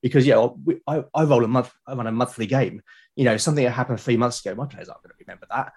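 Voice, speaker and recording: A British man talking casually as an interview guest, explaining things haltingly and often repeating his words. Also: mid-range voice, a little mellow, slightly rough. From Britain. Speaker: podcast guest, game master and podcast creator. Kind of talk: casual conversational interview talk.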